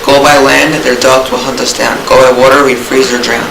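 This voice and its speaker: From across an echoey, noisy room comes a young man acting a wary enslaved field hand in a stage reading. He is fearful and without hope, in a medium-pitched voice. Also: little pitch movement, a little fast, gravelly, lightly acted. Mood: fearful, hopeless. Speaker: student actor. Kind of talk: amateur readers' theater performance.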